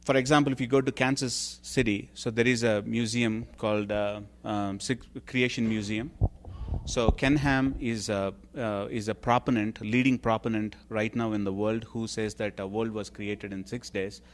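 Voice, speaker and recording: A man's voice: on the low side, fairly even intonation, normal speed. Hesitant male Q&A moderator. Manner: hesitant, lots of ums and uhs. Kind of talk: reading out audience questions. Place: church hall.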